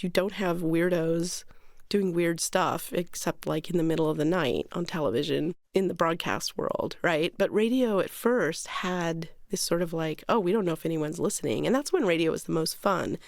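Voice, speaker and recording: A woman's voice: fairly low pitch, normal speed, gravelly, dry recording, studio quality. A woman speaking casually and informally as an interviewee.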